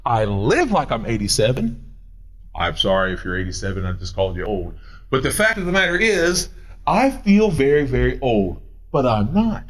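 A man in his forties, a pastor preaching a sermon, animated and answering imagined questions, moving up and down as he talks. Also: moderate pace. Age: 40s. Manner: animated, swooping pitch, paces while preaching.

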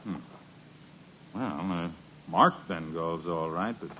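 A prim, pedantic neighbor. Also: male voice, deep voice, takes it slowly, bright, forceful tone, a little hiss or hum, full character voice. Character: fussy neighbor, pedantic amateur gardener. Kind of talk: 1950s radio comedy acting. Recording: muffled old radio broadcast.

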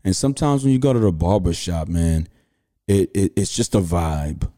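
A Texan man in his thirties hosts a podcast, telling stories in a loose, rambling way full of slang and fillers. His voice sits very low, with a dark, mellow tone. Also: animated, normal speed. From Houston, Texas. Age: 30s. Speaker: podcast host. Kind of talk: casual podcast talk.

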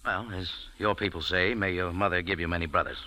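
An actor playing a wisecracking American cafe owner who narrates with a dry, hardboiled manner in a 1940s radio drama. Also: male voice, deep voice, steady tone, fairly quick, bright, forceful tone, poor audio. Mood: wry. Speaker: narrator and lead. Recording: old radio broadcast with music.

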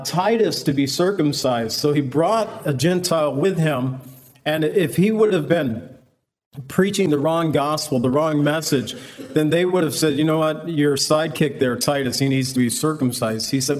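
Pastor teaching verse by verse. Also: male voice, a little high, normal speed, a little mellow. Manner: conversational preaching with quoted asides.

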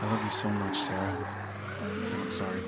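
A man's voice: lowish voice, level tone, normal speed, background noise, close to an everyday voice. A man acting a weary, protective father surviving a post-apocalyptic world in an audio drama. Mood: tender, sorrowful.

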